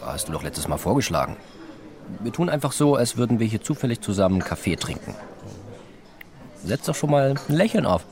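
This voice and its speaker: An actor playing a coaxing, matter-of-fact police officer in a German radio drama. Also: male voice, medium pitch, sing-song, a little fast, a little mellow. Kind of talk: German radio play acting.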